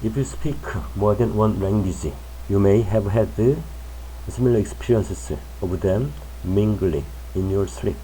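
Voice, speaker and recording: A man reading a magazine article aloud for English learners in a careful, measured way. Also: lowish voice, mellow, slight background noise, poor audio. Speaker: English news reader.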